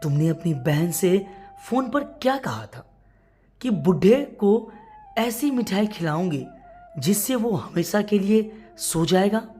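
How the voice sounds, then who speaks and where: A woman as a grave, slow-speaking mother-in-law. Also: mid-range voice, expressive, dark tone, soft background music. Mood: suspicious. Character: worried, suspicious elderly mother-in-law, protective wife.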